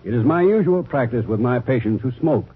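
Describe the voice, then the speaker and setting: A man reading a doctor's statement in a 1940s radio commercial, measured and formal. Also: medium pitch, expressive, husky. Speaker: ad voice quoting a doctor. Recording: tinny old radio broadcast.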